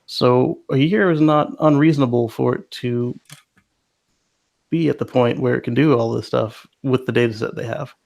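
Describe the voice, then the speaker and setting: A man with a gravelly, creaky edge to his mid-pitched voice chats on a podcast. He sounds upbeat and optimistic, chuckles often, and passes along remarks from the live chat. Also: steady tone, normal speed, darkish tone. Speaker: podcast co-host. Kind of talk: casual podcast discussion.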